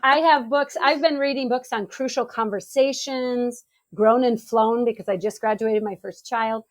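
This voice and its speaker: A woman's voice: fairly high pitch, fairly even intonation, normal speed, dry recording. American woman, dry close sound, casual chat. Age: middle-aged.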